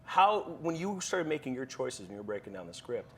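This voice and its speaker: A man's voice: medium pitch, big pitch swings, brisk, husky. A man interviewing an actor, asking long questions with stumbles and repeats. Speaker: entertainment interviewer.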